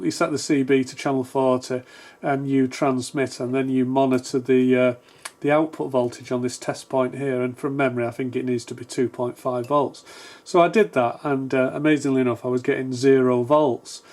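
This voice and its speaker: A man's voice: medium pitch, darkish tone. A man presenting an electronics repair video in a casual, unscripted way, explaining each step tentatively and with self-deprecation. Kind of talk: casual unscripted technical walkthrough.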